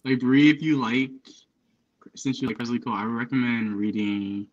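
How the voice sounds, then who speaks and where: A man's voice: medium pitch, fairly even intonation, moderate pace, muffled recording. A young man hosting a casual book chat on a video call, speaking informally and enthusiastically with slangy praise. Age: young adult. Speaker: book livestream host. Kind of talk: casual chat about books. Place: indoor room at home, on a video call. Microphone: headphones on, video call.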